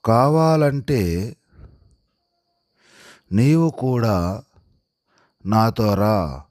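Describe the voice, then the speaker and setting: A man voicing a gentle mother cow from a moral storybook, pleading slowly in short, pitiful phrases. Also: fairly low pitch, animated, no room sound, studio quality. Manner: pitiful, imploring, slow.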